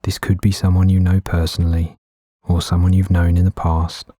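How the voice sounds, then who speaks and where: A man gently guiding a meditation in a soothing, unhurried way with long pauses between phrases. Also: sits low, completely flat delivery, no room sound, clean studio sound. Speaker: meditation guide. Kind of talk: guided meditation narration. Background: background music.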